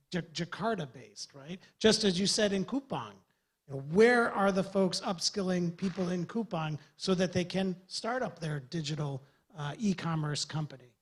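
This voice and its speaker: A man's voice: sits high, animated, normal speed, husky. A man from the USA, a government development official, giving earnest, loosely structured remarks on a panel. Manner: earnest, rambling with restarts.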